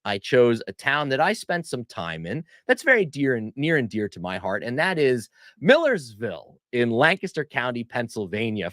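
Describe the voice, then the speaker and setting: A man's voice: mid-range voice, fairly bright tone, dry recording, clean studio sound. A man hosting a live online show, chatting casually and reading viewer comments aloud with an upbeat manner. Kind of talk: casual livestream chat.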